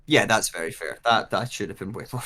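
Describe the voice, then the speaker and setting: A man's voice: on the low side, fairly quick, pushed and bright. A man, an actor at a script readthrough, casually discussing his own delivery.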